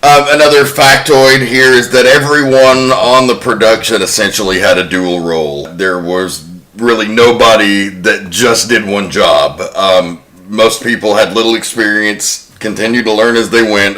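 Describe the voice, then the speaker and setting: Bright-toned man sharing film facts. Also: fairly low pitch, steady tone. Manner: informative, reads facts in a measured way. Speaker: podcast host presenting research. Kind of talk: casual group podcast discussion.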